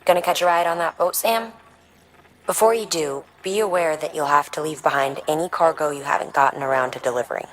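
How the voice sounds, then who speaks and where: An actress plays a practical game advisor with a very low female voice. She gives guidance in a calm, measured, slightly dry way. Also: natural voice, low-quality recording. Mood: dry, advising. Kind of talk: video game dialogue.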